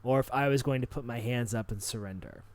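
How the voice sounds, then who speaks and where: A man playing a tabletop game, chatting casually and haltingly as he thinks aloud. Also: medium pitch, darkish tone.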